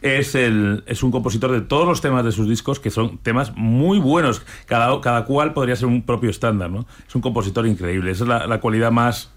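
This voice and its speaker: A man speaks as a guest on a radio show, explaining things in a friendly, easygoing way. His pitch sits in an ordinary middle range. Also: fairly quick, hardly any pauses. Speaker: music booking agent guest. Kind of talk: radio interview.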